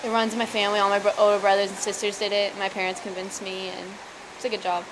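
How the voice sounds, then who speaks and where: A woman's voice: mid-range voice, level tone, fast talker. A seventeen-year-old young woman talking casually in a recorded vox pop interview. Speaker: lifeguard, student. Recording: clear ambient noise on location.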